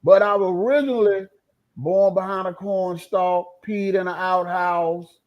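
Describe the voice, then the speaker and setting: A man from North Carolina tells playful, boastful stories as a guest. His voice sits high. The sound is dull and lo-fi. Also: steady tone, unhurried. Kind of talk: casual storytelling.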